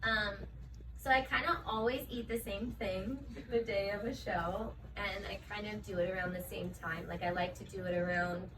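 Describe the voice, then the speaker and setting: A chatty woman dancer answers interview questions easily and casually, leaning on "like" and "um" as she goes. She sits back from the microphone, so her voice sounds a little distant. A steady hum of room noise runs behind her. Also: mid-range voice. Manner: chatty, frequent fillers like "like" and "um".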